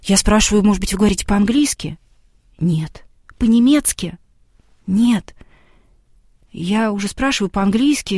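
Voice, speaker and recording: A woman's voice: medium pitch, dark tone, no echo, clean studio sound. A woman who makes television documentaries, a guest on a talk show, telling a long story and acting out the dialogue she quotes.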